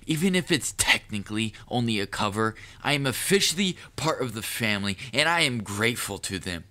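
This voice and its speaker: A man playing a proud, cunning, lovestruck assassin in an audio roleplay, speaking formally and deliberately to the listener with quiet menace. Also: medium pitch, expressive. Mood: hesitant, defensive. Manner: formal wording, deliberate, measured. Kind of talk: scripted audio roleplay monologue addressed to the listener.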